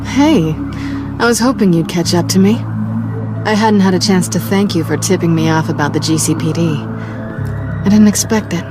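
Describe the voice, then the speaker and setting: An actress playing a sly, tough, flirtatious cat burglar with a playful, sardonic and cocky delivery. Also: female voice, on the low side, moderate pace, close to an everyday voice. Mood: warm, wistful. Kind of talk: scripted game or film acting. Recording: music under the voice.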